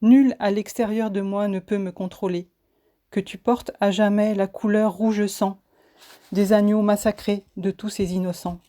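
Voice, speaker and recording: A woman reciting spoken-word poetry in a solemn, declamatory manner, phrasing each line deliberately. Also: mid-range voice, steady tone, mellow. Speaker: poet reciting own poem.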